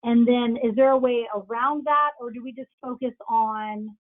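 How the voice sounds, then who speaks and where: A woman's voice: fairly high pitch, steady tone, moderate pace, no echo. A woman calling in as a new business coach, chatty and slightly hesitant, with many ums. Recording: tinny phone-like line.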